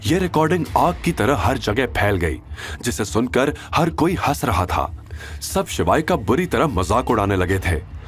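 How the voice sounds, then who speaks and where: A man narrating a scripted audio drama in an expressive storytelling manner. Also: medium pitch, a little slow. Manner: expressive storytelling, does all characters.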